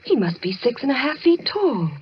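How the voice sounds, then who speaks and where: A woman's voice: high voice, very animated, normal speed, a little hiss or hum. An actress playing a nervous, genteel woman in an old radio drama, hesitant and fretful. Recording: muffled old radio, music behind.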